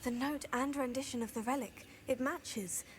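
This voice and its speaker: An actress playing a calm, diplomatic young female student, speaking in a reasoned way. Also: high-pitched, moderate pace, a little hiss or hum, close to an everyday voice.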